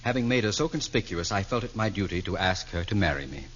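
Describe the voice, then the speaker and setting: Guy playing a stuffy deadpan weatherman, wordy and formal, muffled old radio. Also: lowish voice, quick pace, close to an everyday voice. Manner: formal deadpan long-winded delivery. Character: deadpan absent-minded weatherman neighbor, middle-aged. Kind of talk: 1940s radio comedy acting. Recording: scratchy 1940s radio broadcast.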